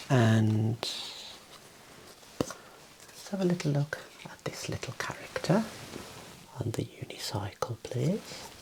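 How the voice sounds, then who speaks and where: A man in his thirties whispering softly and breathily in a calm, gentle ASMR roleplay as an examiner giving instructions, close to the mic in a small room. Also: mid-range voice, poor audio. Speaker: ASMR host playing an eye examiner.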